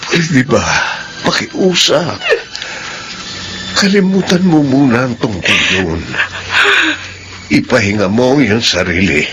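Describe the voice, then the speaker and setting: A man's voice: medium pitch, animated, slow pace, pauses often, background noise. An actor playing Kuya Conrad, an older brother comforting his sister, in a radio drama. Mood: soothing. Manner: soothing, gentle. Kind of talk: classic radio drama acting.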